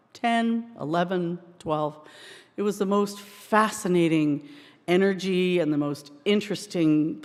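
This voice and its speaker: A worship leader speaking to a congregation in an informal, expressive way during a church service. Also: mid-range voice. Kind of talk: church service address, prayer and personal anecdote. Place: indoors in a church. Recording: reverberant church hall.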